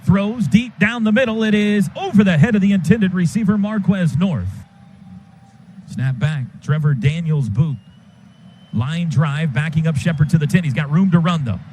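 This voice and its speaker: A man calling a football game play by play on the radio, in rapid, clipped bursts of action. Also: fairly high pitch, lively intonation, a little mellow. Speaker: play-by-play announcer. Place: stadium broadcast booth. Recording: noisy stadium radio broadcast.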